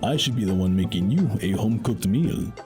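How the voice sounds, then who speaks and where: Man playing a low-voiced gallant wrestling hero. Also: animated, fairly quick, dark tone, faint music behind, natural voice. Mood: gracious. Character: gallant heroic champion luchador. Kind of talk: audio drama acting.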